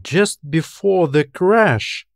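Man as woman astronaut, puzzled, earnest, drawn-out wondering speech, audiobook character, dry sound. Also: a little high, creaky, dry recording, voice pushed up, heavily acted. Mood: unsure.

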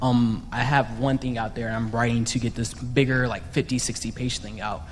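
Man, mid-pitched, audience questioner, casual, hall reverb, faint noise. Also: level tone, moderate pace. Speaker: audience questioner, graphic designer. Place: convention hall audience microphone.

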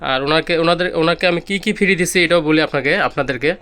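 A man's voice: fairly high pitch, fast, bright, forceful tone, clean tone. A man with a Bangladeshi accent giving an informal, repetitive product demonstration as a seller, pointing things out as he goes. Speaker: electronics seller demonstrating used audio gear.

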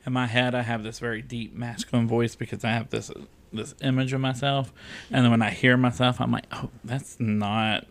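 A man's voice: medium pitch, level tone, moderate pace. A man from the American South, a podcast guest talking candidly and with self-deprecating humor, using many fillers. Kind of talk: casual interview chat.

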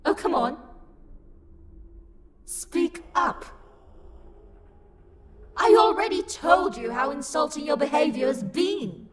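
A woman performs an angry female demon in an audio roleplay, high-voiced and swinging widely in pitch. She is imperious, sassy and easily insulted, drawing out her words slowly in a long reverb. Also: animated, a little hiss or hum. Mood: bitter, fed up. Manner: indignant, imperious, loud outbursts. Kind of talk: dramatic roleplay monologue. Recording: long reverb, music behind the voice. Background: music.

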